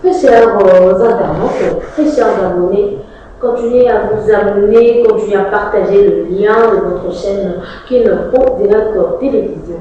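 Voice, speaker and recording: A woman's voice: medium pitch, measured pace, dark tone. A woman with a Congolese accent hosting an interview, putting questions and addressing online viewers. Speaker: interviewer, web TV host. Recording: distant, dull, very echoey, faint noise.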